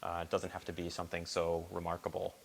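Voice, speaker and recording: A man casually introducing songs at a live barn performance, halting and informal as he thinks aloud. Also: low voice, monotone, vocal fry. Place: barn, live before a small audience. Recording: live barn recording with noticeable hiss.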